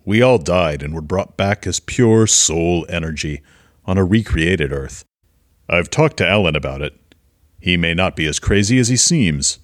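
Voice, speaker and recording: A man's voice: low-pitched, lively intonation, normal speed, no room sound, studio quality. A man playing an earnest, convinced conspiracy theorist in an audio drama, stating things matter-of-factly. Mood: convinced, confiding.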